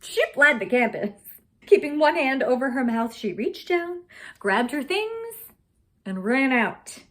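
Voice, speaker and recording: A woman actress giving a dramatic reading of a fairy tale, expressive, with big swings in pitch and loudness and hushed quieter moments. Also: unhurried.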